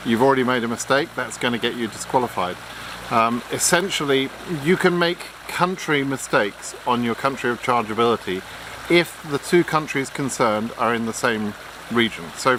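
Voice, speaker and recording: A man's voice: medium pitch, noisy background. A man giving blunt, frank advice in an unscripted explainer, lecturing with frequent ums and restarts. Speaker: immigration advice vlogger.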